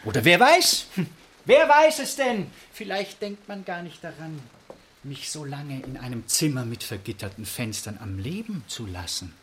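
A man's voice: mid-range voice, a bit bright. An actor playing a proud deposed king in a radio drama, theatrical and sarcastic, drawing out his words with mock playfulness. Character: deposed Bavarian king, proud, huge, theatrically bitter and suspicious.

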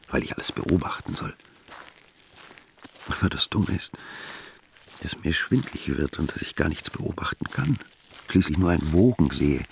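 Radio drama monologue: weary, brooding man, bottom-register and creaky, halting delivery. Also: extremely low pitch, a little hiss or hum, exaggerated voice. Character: Philipp, brooding, weary, dizzy male intellectual observer. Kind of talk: inner monologue in a radio play.

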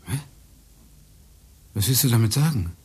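An actor playing an anxious, brooding, paranoid husband in a radio play, speaking tersely. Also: male voice, medium pitch, lively intonation, unhurried, darkish tone, breathy edge. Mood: brooding, uneasy. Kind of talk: naturalistic German radio play dialogue.

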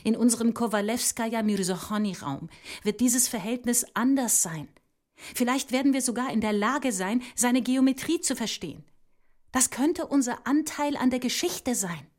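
An actress playing a resolute visionary woman mathematician in a literary radio drama, speaking in a measured, deliberate way. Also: a little high, darkish tone, close to an everyday voice.

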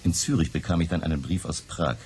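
An actor playing a weary, fearful political refugee in a German radio drama, telling his past in a halting, subdued, gravelly way. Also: a bass voice, a little mellow, slight background noise. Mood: grim, subdued. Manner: halting, subdued confessional storytelling. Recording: music behind the voice.

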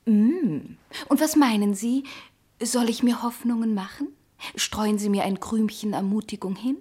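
Husky, wry city woman. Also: a little high, unhurried. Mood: teasing, ironic. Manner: ironic, often hushed and breathy. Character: worldly, wry city young woman, exasperated companion. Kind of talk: radio play acting in German.

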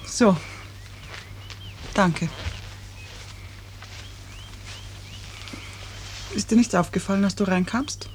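Eine Schauspielerin spielt in einem Hörspiel der fünfziger Jahre eine schwerkranke Frau, eindringlich, bitter und wehmütig. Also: female voice, medium pitch, measured pace, close to an everyday voice. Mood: restless. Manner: intense, reproachful, wistful. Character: seriously ill woman patient, bitter, searching, wanting the truth. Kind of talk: 1950s German radio drama acting. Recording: noisy early 1950s radio recording.

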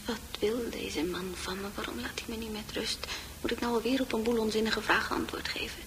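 An actress voicing the inner thoughts of a withdrawn, resentful female psychiatric patient, breathy and mostly whispered, in a radio drama. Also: a little high, brisk, a bit bright, slight background noise, lightly acted. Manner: secretive, brooding.

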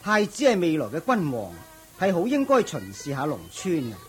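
A man's voice: a little high, huge pitch swings, moderate pace, faint music behind. A man playing a dutiful royal prime minister in a Cantonese audio drama, deferential to his master and dismissive of commoners. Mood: explaining.

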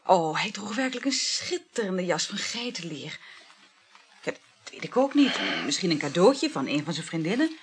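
An actress in a radio play voicing a dreamy, flirtatious married woman, speaking in a breathy, languid, halting murmur. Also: fairly low pitch, sing-song. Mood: soothing, evasive. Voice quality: breathy, husky. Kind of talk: intimate radio play acting.

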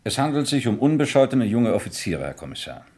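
A man's voice: medium pitch, very animated, brisk, a little mellow, natural voice. A man playing a dutiful army major in a vintage radio crime drama, speaking with formal military courtesy. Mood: earnest, hesitant. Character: dutiful army major defending his fellow officers' honour.

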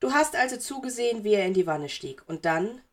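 A woman's voice: medium pitch, animated, fairly quick, natural voice. A woman voicing a stern, sarcastic, impatient male wizard teacher in an audiobook, curt and sardonic. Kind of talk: audiobook character voice.

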